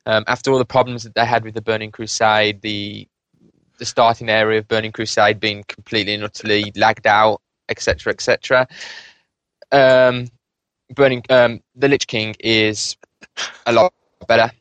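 A British man chatting casually about video games as a podcast panelist, with a hesitant, halting delivery. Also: lowish voice, little pitch movement, fairly bright tone, clean tone, no echo, low-quality recording. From United Kingdom. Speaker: podcast panelist and game reviewer.

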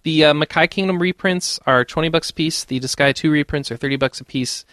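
Man, slightly high voice, podcast host, casual game news, very dry recording. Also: brisk, a bit bright, dry recording. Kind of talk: casual panel banter and news talk.

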